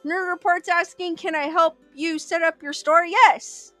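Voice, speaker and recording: Bright high-voiced woman chatting live. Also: fairly even intonation, unhurried, no echo, faint music behind. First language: Korean as early childhood language. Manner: chatty, laughs often. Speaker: livestream host. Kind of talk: casual chat reading live comments.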